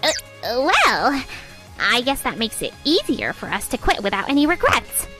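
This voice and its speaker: An actress performing a spoiled, smug young woman in a romcom manga dub. Her voice is high and swings widely as she talks in dramatic, casual phrases. Soft music plays underneath. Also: wildly swooping pitch, normal speed, full character voice. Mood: huffy, defiant. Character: haughty young woman saleswoman, spoiled executive's daughter. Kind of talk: animated manga dub voice acting.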